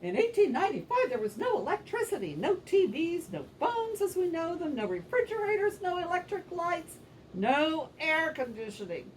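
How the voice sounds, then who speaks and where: A woman performing scripted puppet show narration, telling things in an animated way for children, recorded at some distance from the microphone. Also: very high voice, normal speed. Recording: distant microphone, faint background noise.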